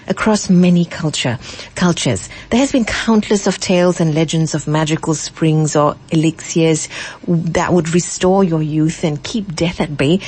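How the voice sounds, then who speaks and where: A woman's voice: deep voice, darkish tone, husky. A woman presenting a radio interview, moving between a scripted introduction and questions to a guest. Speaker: radio host.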